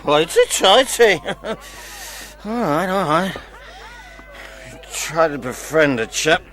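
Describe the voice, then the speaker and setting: An actor playing a pompous, leering drunken English gentleman in a radio drama, with slurred talk. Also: a little high, expressive, takes it slowly, soft background music. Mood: confiding, amorous.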